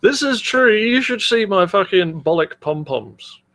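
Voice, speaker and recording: A man's voice: sits high, expressive, a little slow, creaky, fairly bright tone, dry recording. A man co-hosting a comedy podcast, chatting casually in a blunt, crude manner. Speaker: podcast co-host.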